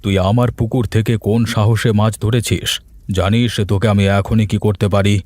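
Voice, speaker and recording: A man's voice: lowish voice, little pitch movement, brisk, darkish tone, dry recording, faint music behind, lightly acted. A man voicing a stern, domineering village headman in a cartoon, scolding and interrogating in a commanding manner. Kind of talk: cartoon dialogue.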